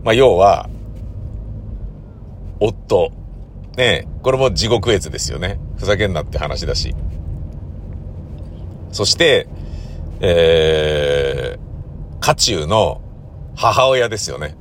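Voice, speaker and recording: Lively low-to-mid voiced man, halting solo talker. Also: slight background noise. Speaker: host of a regular podcast, radio drama director. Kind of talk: casual solo talk, podcast diary.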